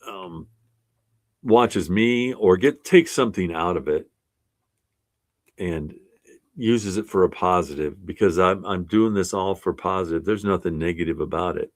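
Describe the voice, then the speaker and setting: A man's voice: sits low, measured pace. A man in his sixties speaking as an art resilience instructor, giving a casual, earnest and encouraging talk to his class in a plainspoken way.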